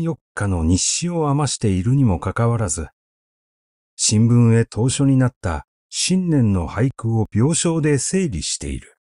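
A man reading literary prose as an audiobook narrator, in a measured, deliberate storytelling delivery. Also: mid-range voice, dark tone, no echo, clean studio sound. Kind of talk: literary recitation, scripted narration.

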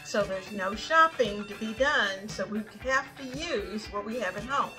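A woman's voice: medium pitch, lively intonation, measured pace, music in the background, poor audio. An older woman hosting a home decor vlog, talking casually and encouragingly as she demonstrates.

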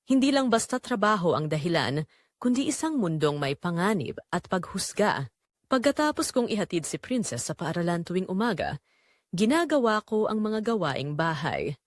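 A woman playing a poor, devoted mother burdened by guilt, narrating an audio drama in an earnest, measured, confessional way. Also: on the low side, dry recording, studio quality. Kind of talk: scripted dramatic first-person narration.

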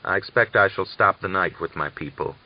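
A man's voice: low-pitched, fairly quick. Man reading Natalia, a prim, guarded young wife. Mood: evasive. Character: Natalia, modest, reserved young Cossack wife. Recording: dull lo-fi audiobook recording.

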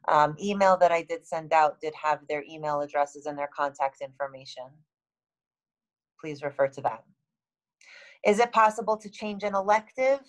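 A woman in her thirties with a halting, matter-of-fact manner, reading and answering questions as a school administrator on a video call. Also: lowish voice. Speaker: school administrator hosting a town hall. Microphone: video call, computer microphone.

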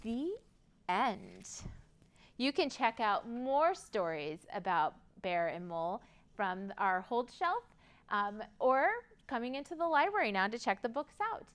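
A woman's voice: fairly high pitch, expressive, a little slow, a bit bright. An American woman in her thirties leading a children's storytime in a sing-song, exaggerated way for little kids. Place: library room, indoors. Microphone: lapel.

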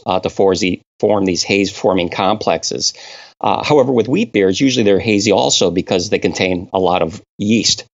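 A man from Connecticut explains brewing science in a guest interview. His low voice has a gravelly, fried edge, and he talks haltingly, stopping and restarting. The sound is dull and lo-fi. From Connecticut, New England. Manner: halting, many uh fillers and restarts. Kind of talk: technical interview.

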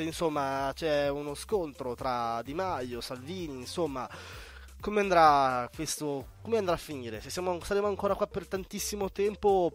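A man hosting a radio show, chatting casually about current affairs. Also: a little high. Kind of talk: casual political chat. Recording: music playing underneath.

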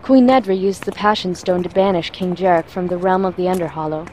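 A woman playing a composed, wry assassin, explaining things in a measured way in an audio drama. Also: on the low side, steady tone, a little mellow, slight background noise, natural voice.

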